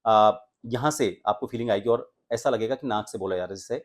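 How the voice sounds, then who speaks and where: एक आदमी जो आवाज़ सुधारने का पाठ पढ़ाता है, हमिंग की आवाज़ें करके दिखाता है और हिंदी लहजे में बोलता है।. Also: male voice, lowish voice, fairly quick. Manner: explanatory, demonstrates humming sounds. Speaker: voice coach and anchor. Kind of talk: voice training lesson.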